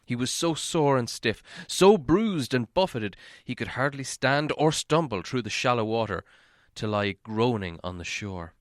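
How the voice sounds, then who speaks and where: A male audiobook narrator reads a fantasy story in a medium-pitched voice. His delivery is dramatic and measured storytelling, captured in a very dry recording with little room sound. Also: lively intonation, no echo.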